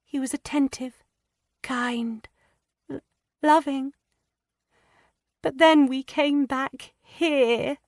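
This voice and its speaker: A woman's voice: steady tone, drawn-out words, pauses often, dry recording, clean studio sound, full character voice. A woman voicing Lydia, a tearful young wife. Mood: distressed. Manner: pleading, stammering, high and breathless. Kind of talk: audiobook character voice.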